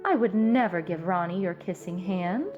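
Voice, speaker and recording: A woman voicing a gentle, loving mother raccoon in a storybook, speaking in a tender, soothing way. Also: fairly low pitch, normal speed, muffled recording, faint music behind, close to an everyday voice. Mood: reassuring.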